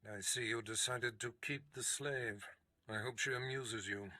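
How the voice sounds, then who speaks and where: An actor playing a haughty, scheming Sith master in a video game, speaking in a pompous, measured, formal way. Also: male voice, medium pitch, steady tone, pushed and bright, dry recording. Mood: dry disdain.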